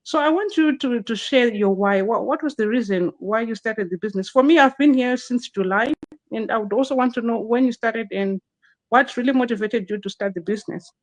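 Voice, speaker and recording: Woman host, higher voice, dry close sound, casual restart-filled live interview talk. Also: a little fast, no echo, rough recording. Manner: chatty, frequent restarts and fillers. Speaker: host, digital business consultant.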